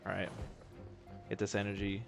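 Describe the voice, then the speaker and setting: Young guy game streamer, lower-pitched, easygoing banter with casual swearing. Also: monotone, moderate pace, vocal fry, music in the background. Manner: laid-back, self-mocking, swears casually. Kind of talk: casual gameplay commentary.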